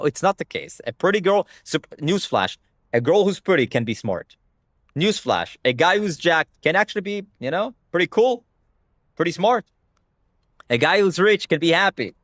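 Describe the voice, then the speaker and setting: Young man, native Hebrew speaker, blunt and emphatic, lively swooping pitch, dry close sound. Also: moderate pace, no echo. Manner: blunt, emphatic, swears freely. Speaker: streamer answering chat. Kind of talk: casual livestream rant.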